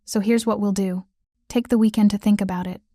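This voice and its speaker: A calm mafia boss with a commanding presence, low and unhurried, possessive under the composure, as a woman reads his lines. The delivery stays level with little rise and fall, in a mellow tone recorded close and dry. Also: dry recording, natural voice.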